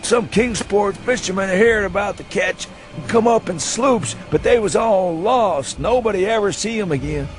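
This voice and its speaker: A man's voice: high voice, normal speed, slight background noise, natural voice, poor audio. A man voicing a drunken, hysterical old man with a rural New England accent and rustic, goading speech in a dramatised story reading. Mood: conspiratorial, rambling. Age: elderly. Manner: rustic dialect, goading questions ending in "huh?". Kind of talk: dramatised audiobook reading for radio.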